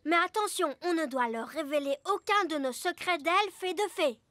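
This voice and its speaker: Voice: extremely high pitch, normal speed, dry recording. A performer voicing an earnest, childlike young elf boy in a French-dubbed children's cartoon. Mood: earnest, cautious. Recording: loud music behind the voice.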